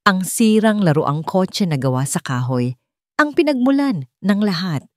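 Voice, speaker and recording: Filipino woman narrator, low-pitched, close and dry. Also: very animated, measured pace, darkish tone, no room sound. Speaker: storyteller of an audio love drama. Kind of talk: scripted dramatic narration.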